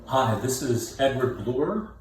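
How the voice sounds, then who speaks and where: A man from New Jersey speaks slowly and deliberately, posing a trivia question. He is recorded from a distance in a very echoey room. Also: mid-range voice, lively intonation. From Mercer County, New Jersey. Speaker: young adult novelist. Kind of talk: scripted trivia question to camera. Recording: echoey room from far off, faint noise.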